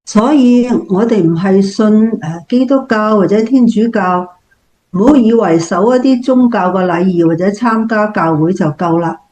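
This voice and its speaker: A woman with a Cantonese accent playing a gentle, devout older family member, speaking earnestly and with measure in a scripted radio drama. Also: medium pitch, normal speed, lightly acted, rough recording.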